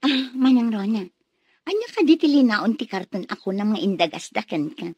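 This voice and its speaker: An actress with a Filipino accent playing a nosy, expressive gossiping woman in a radio drama. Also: mid-range voice, normal speed, slightly rough, no echo, lo-fi sound. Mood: curious.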